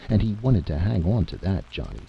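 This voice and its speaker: A man's voice: deep voice, sing-song, fairly quick, creaky, highs cut off, a little hiss or hum, heavily acted. A man voicing a weary, earnest and sceptical adventurer in a story reading. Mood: earnest, resigned. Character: weary, sober, doubting male adventurer.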